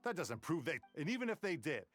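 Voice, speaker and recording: A man's voice: fairly high pitch, sing-song, fast, fairly bright tone, faint music behind. An actor voicing a scornful, accusing male guard who scoffs dismissively.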